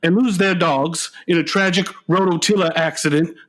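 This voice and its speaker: An actor playing a whiny, sulky adult son, a struggling musician, in a comedic radio play, with a plaintive, halting delivery. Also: male voice, fairly high pitch, fairly even intonation, natural voice. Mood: mournful, self-pitying.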